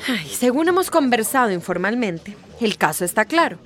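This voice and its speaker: Bossy high-voiced committee woman. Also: sing-song, moderate pace, fairly bright tone. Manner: fussy, imperious. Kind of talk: radio drama acting.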